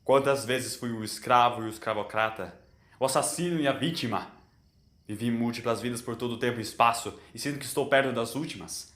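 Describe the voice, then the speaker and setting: A man's voice: mid-range voice, brisk, a bit bright, reverberant, close to an everyday voice. A man voicing a weary, bitter immortal alien in human form for an audio story, solemn and anguished.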